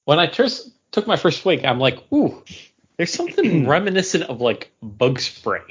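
A man's voice: a little high, huge pitch swings, moderate pace, breathy edge. A man chatting as a podcast co-host over an internet call, describing things in a hesitant, meandering way. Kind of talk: casual podcast chat. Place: remote voice call. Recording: dull lo-fi internet call audio.

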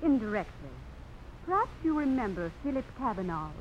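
A slightly muffled vintage radio play with faint noise. a vain, formal society beauty in a high, poised voice. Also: female voice, sing-song, a little fast. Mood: poised, coy. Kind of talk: 1950s American radio drama acting.

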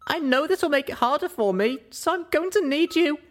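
A man voicing a young female unicorn, earnest and guilt-ridden, speaking in a high pleading voice. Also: expressive, brisk.

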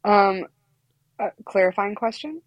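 A woman's voice: mid-range voice, animated, a little slow, dry recording. Giggly New woman guest. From New York. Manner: playful, giggly, rambling. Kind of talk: casual comedic podcast chat.

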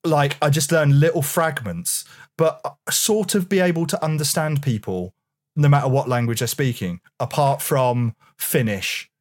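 A man's voice: a little high, normal speed. A man co-hosting a podcast, reading interview questions aloud in a mock-formal way and chatting casually.

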